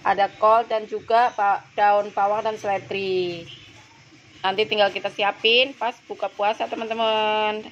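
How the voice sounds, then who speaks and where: A woman's voice: mid-range voice, little pitch movement, unhurried, dull audio. A woman in her thirties with a nasal, stuffed-up voice from a cold, hosting a casual home cooking vlog and chatting to her viewers as friends. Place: home kitchen. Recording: noisy home kitchen recording.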